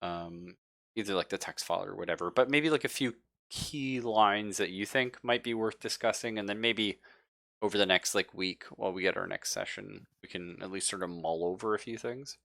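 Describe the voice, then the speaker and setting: A man's voice: lowish voice, normal speed, creaky, fairly bright tone, no echo. A man acting as game master in a casual live tabletop roleplaying session, easygoing and encouraging as he thinks aloud.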